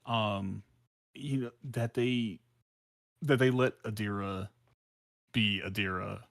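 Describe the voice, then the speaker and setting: A man's voice: on the low side, takes it slowly, no room sound, clean studio sound. An American man chatting casually on a podcast, hesitant and repeating phrases as he searches for his words. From South Central Los Angeles, United States. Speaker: podcast co-host.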